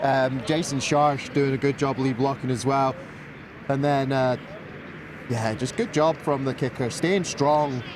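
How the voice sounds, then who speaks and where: A man's voice: medium pitch, a little slow, noisy background. Scottish man doing colour commentary. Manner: relaxed analytical chat, self-deprecating. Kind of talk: live sports commentary.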